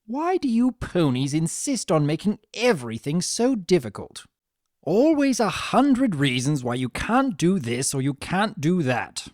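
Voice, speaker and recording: A woman's voice: lowish voice, big pitch swings, unhurried, a little mellow, no room sound, studio quality, voice pushed up. Woman voicing a sly, theatrical male chimera trickster. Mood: exasperated, sardonic. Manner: theatrical, drawling, mock-innocent.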